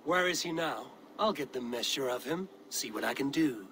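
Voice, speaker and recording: A self-assured Viking warrior played by a man, dry and blunt, speaking at an ordinary male pitch. It is video game drama delivery, with clear ambient noise behind the voice. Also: expressive, moderate pace, lightly acted. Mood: curious, resolute. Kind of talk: video game cutscene acting.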